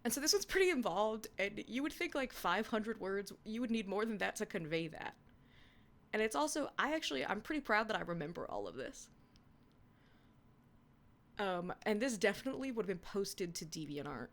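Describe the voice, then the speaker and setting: A young woman reading her own old fan fiction aloud in a hushed, half-whispered voice, then chatting about it with giggly, self-mocking commentary. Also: medium pitch, sing-song, moderate pace. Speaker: podcast reader.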